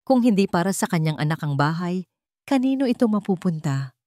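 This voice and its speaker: A woman's voice: lowish voice, lively intonation, fairly quick, mellow, no room sound, clean studio sound, natural voice. A woman voicing a polite, composed male lawyer who speaks courteously and formally.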